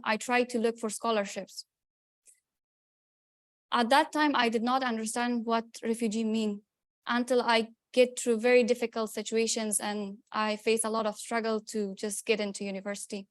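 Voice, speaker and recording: Young Afghan woman, earnest speech, bright-ish pitch, dry close sound. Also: dry recording. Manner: earnest, measured storytelling. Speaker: student speaker. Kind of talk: personal speech to an audience.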